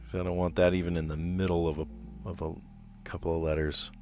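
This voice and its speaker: A man's voice: low-pitched, flat delivery, fast talker, darkish tone, slight background noise. A man in his forties, livestreaming himself solving word puzzles and thinking aloud, easily amazed and chuckling, close to a desk microphone at home. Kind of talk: casual livestream commentary. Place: home room, indoors. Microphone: close podcast mic on a desk.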